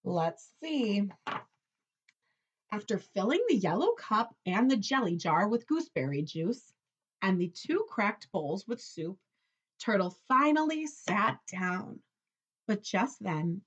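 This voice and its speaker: Female teacher, picture-book read-aloud: very animated intonation, unhurried, simple explanations and questions for kids. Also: medium pitch, breathy edge, highs cut off.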